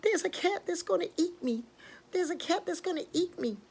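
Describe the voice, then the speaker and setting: A woman's voice: lively intonation, normal speed, darkish tone. A woman voicing a small, frightened male bird in a storybook tale, pleading and repeating himself breathlessly. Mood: panicked. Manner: breathless, repetitive, high-strung.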